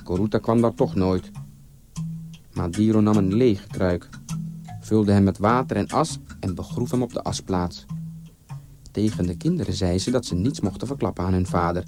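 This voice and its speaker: A man narrating a scripted folktale as a storyteller, with expressive, theatrical delivery. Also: fairly low pitch, moderate pace, over music.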